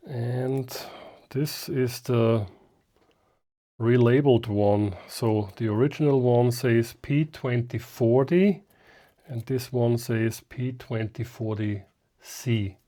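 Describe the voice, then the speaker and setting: Slow-talking man with a mellow voice. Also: medium pitch. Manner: hesitant, thinking aloud, muttering to himself. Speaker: hobbyist host of a restoration video. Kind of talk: casual unscripted how-to commentary while working.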